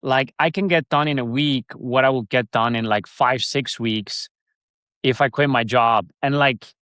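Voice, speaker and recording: A man with a Swiss German accent chats as a podcast guest, circling his points with restarts and fillers. His voice sits in a middle pitch range. The sound is close and dry, with no room around it. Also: a little slow, a bit bright, dry recording. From Switzerland. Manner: rambling, many restarts and fillers. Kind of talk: casual interview chat.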